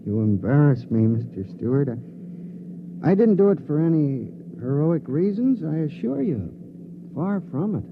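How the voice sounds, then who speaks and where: A man's voice: fairly high pitch, sing-song, a little slow. An actor playing a mild, modest little man, an unlikely space hero in a 1950s radio drama, speaking hesitantly and politely. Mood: shy, polite. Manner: hesitant, polite, self-effacing. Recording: tinny, noisy 1950s radio recording.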